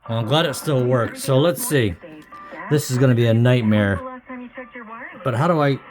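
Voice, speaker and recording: A man giving a casual, unscripted demonstration as a hobbyist, thinking aloud in a halting, uncertain way. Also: medium pitch, over music. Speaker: hobbyist vlogger. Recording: noisy with clicks and radio. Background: radio noise and clicks.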